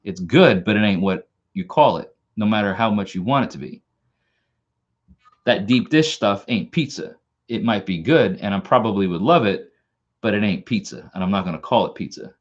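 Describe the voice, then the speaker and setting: A man's voice: fairly low pitch, expressive, moderate pace, lo-fi sound. A man with a Long Island accent, hosting a casual live stream and rambling, with lots of restarts and repeated words. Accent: Long Island New York. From Long Island, New York. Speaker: channel host.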